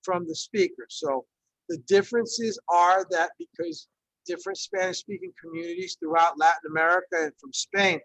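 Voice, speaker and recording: A man's voice: high-pitched, big pitch swings, moderate pace, gravelly, fairly bright tone, no room sound, rough recording. A man in his fifties from Ecuador, a Spanish teacher leading an online workshop in a conversational way, with many fillers and frequent check-ins. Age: 50s. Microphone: video call.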